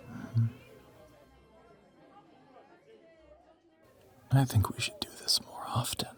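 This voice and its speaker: A man voicing an ancient, suave vampire in an intimate romantic audio roleplay, teasing and possessive, with a breathy whisper and formal, condescending phrasing. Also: on the low side, fairly bright tone. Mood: teasing, amused.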